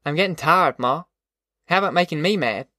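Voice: medium pitch, a little fast, pushed and bright, no echo, studio quality, lightly acted. A narrator voicing a weary, sensible young farm man with plain rustic speech in an audiobook. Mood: tired, wry. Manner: folksy rustic dialect, plain-spoken. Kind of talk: audiobook character voice.

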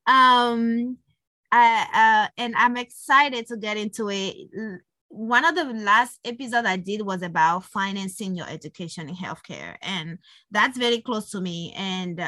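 A woman hosts a casual podcast interview. Her voice is bright and crisp-edged, recorded dry with very little room sound. She talks in an informal, chatty way. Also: mid-range voice, a little slow, dry recording. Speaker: podcast host.

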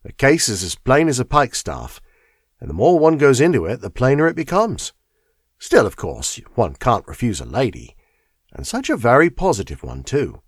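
A man voicing a brisk, self-assured police inspector who speaks confidently and indulgently. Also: medium pitch, huge pitch swings, slightly rough, no echo, studio quality.

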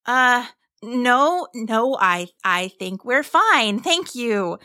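Woman acting an alarmed, bright-voiced storybook mother. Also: mid-range voice, no room sound, studio quality. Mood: stunned, flustered. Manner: urgent when warning, flustered and halting when polite. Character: protective, alarmed mother of two children. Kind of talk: children's story character voice.

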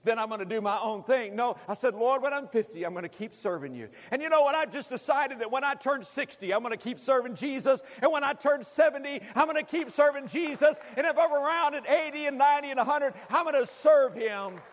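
A man in his fifties preaching a sermon, speaking emphatically with deliberate repetition and addressing the congregation directly. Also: very high voice, expressive. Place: large hall, preaching to a congregation. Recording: long hall reverb.

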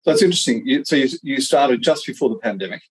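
Male host, husky voice, hesitant, lots of ums, mid pitch. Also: lively intonation, fast talker. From Australia. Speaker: podcast host. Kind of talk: interview questions.

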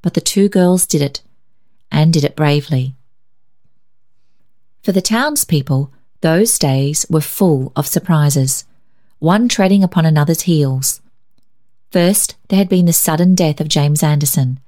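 A woman reading a story aloud as scripted narration, in an expressive, measured, dramatic way. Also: low-pitched. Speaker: story reader.

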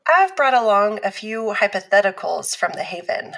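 An actress playing a calm, patient abuse survivor who explains things in a measured, instructive way in a scripted fiction podcast. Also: female voice, medium pitch, vocal fry, full character voice.

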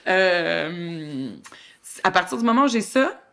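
A woman with a Québécois accent co-hosting a podcast, chatting in a lively, expressive, colloquial way and laughing often. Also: on the low side, slow. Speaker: podcast co-host. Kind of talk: casual chat.

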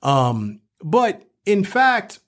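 A man's voice: high-pitched, sing-song, very slow. A man co-hosting a literary podcast, reflective and scholarly but colloquial in his phrasing. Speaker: podcast co-host and professor.

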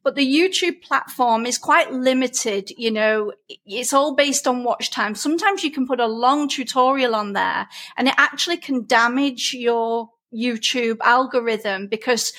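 A woman's voice: fairly high pitch, unhurried, hardly any pauses, fairly bright tone. A middle-aged woman with a broad Lancashire accent, chatting and explaining things in a casual interview. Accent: broad Lancashire English. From Lancashire, England. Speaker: interview guest, painting teacher.

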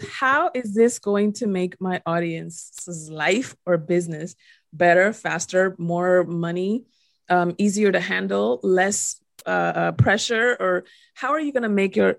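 A woman's voice: medium pitch, vocal fry, no room sound, clean studio sound. A woman with a slight Dominican accent, explaining ideas in casual podcast conversation and checking in with "right?". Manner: quick, explanatory, peppered with "right?".

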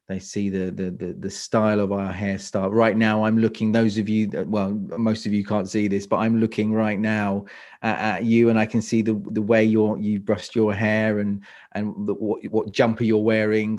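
A man's voice: fairly low pitch, darkish tone. A man with a London accent, a speaker coach answering interview questions, often restarting and repeating words as he thinks. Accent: London English. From England.

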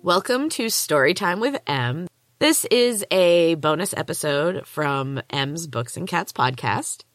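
A woman's voice: fairly low pitch, animated, slow, soft background music. A woman hosting a storytelling podcast and reading her own first-person fiction aloud. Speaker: podcast host and author.

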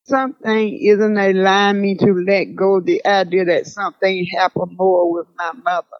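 A woman playing a reflective, grieving older grandmother in an audio drama, speaking solemnly and deliberately. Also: medium pitch, level tone, no room sound, lo-fi sound, close to an everyday voice. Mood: pensive. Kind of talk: audio drama acting, diary poem recitation.

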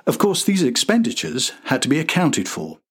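Husky-voiced man narrating a documentary. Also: medium pitch, wildly swooping pitch, normal speed, fairly bright tone. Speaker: podcast host and narrator.

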